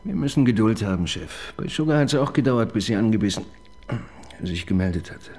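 A man's voice: fairly low pitch, expressive, fairly quick, darkish tone. A man playing an eager but sceptical junior detective in a radio crime drama, deferential yet questioning. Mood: expectant. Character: eager, sceptical male junior police detective assisting his boss. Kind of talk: naturalistic German radio drama dialogue.

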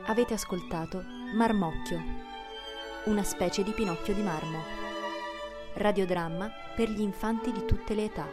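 A woman with a medium-pitched voice narrates a radio play. Her delivery is scripted storytelling and credit reading, with classical string music playing clearly behind her. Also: moderate pace.

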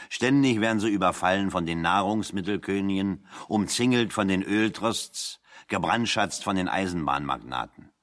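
An actor playing a wry, talkative man in a German radio play, speaking in a deliberate, ironic, anecdotal way. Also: sits low, a bit bright.

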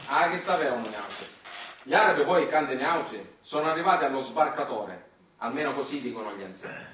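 A man's voice: a little high, fairly bright tone. A man acting a nostalgic, chatty small-town Sicilian storyteller, speaking in a folksy, conversational stage monologue with dialect and a Sicilian accent. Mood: explanatory, wry. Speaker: stage actor performing a monologue. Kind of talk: colloquial theatrical storytelling monologue. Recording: roomy, echoing, distant mic, faint noise.

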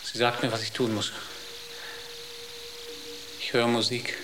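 A man playing a troubled space crewman entranced by a voice in a German radio drama, intense and halting, repeating words. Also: medium pitch, little pitch movement, natural voice. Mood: entranced, insistent. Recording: echoey room, faint background noise.

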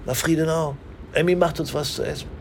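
An actor playing a genial, fatherly older police colleague in a radio play, familiar in manner, with a creaky voice. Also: on the high side, steady tone, fairly quick, slight background noise. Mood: familiar, probing.

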